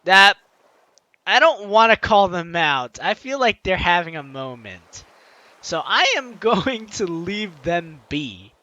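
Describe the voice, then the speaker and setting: Animated, high-pitched, bright-voiced man hosting a let's-play. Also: takes it slowly, no echo, dull audio. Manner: playful, teasing, exclamatory, talks to the audience. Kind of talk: reading game text aloud with excited commentary.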